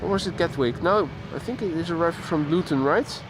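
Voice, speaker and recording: A man's voice: a little high, a little fast. A man hosting a casual live stream outdoors, chatting with viewers and greeting them by name. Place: outdoors near an airport. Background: faint outdoor traffic and voices.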